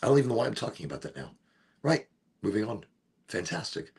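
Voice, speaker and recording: A man with a deep, gravelly voice, rambling through a casual monologue as host of a smoke session and wandering from one topic to the next. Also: big pitch swings, fast, a little mellow. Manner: rambling, wanders off topic, breaks into off-key singing.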